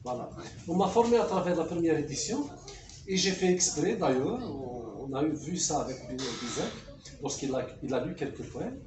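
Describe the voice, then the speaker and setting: Echoey, higher-voiced Algerian man explaining. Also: lively intonation, moderate pace, over music. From Kabylia, Algeria. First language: Kabyle. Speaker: poet being interviewed. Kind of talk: conversational interview about writing. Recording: echoey room, distant mic, faint noise.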